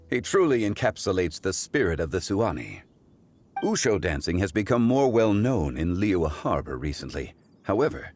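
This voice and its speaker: Zhongli, a deep-voiced, courteous gentleman sage. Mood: composed, admiring. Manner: formal, measured, eloquent. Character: Zhongli, calm wise courteous scholarly gentleman. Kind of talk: scripted video game dialogue.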